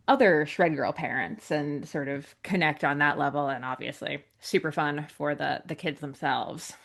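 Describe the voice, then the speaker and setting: A woman hosts a podcast in easy, rambling conversation, full of ums and restarts. Her voice sits a little low for a woman. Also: a bit bright. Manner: chatty, rambling with many fillers. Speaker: podcast host. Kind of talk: casual podcast chat.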